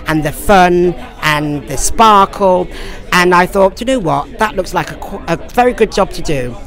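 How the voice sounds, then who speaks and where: An English man from Lincoln chatting effusively and jovially as a pantomime actor being interviewed. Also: high-pitched. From Lincoln, England. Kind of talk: casual interview chat. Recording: loud crowd chatter behind.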